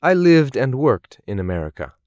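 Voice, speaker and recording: A man reading scripted English course dialogues in a slow, clearly articulated, measured way. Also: medium pitch, big pitch swings, darkish tone, no echo, clean studio sound. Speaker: language course voice actor.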